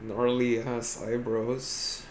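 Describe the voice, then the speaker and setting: A man's voice: medium pitch, little pitch movement, extremely slow, over a noisy crowd. A man co-hosting a podcast, reacting in casual, wry, slangy banter with some swearing. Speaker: podcast co-host.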